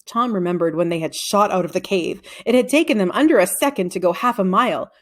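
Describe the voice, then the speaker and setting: A woman narrating a children's novel aloud in an expressive storytelling way. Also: medium pitch, fast talker. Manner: expressive storytelling with acted dialogue.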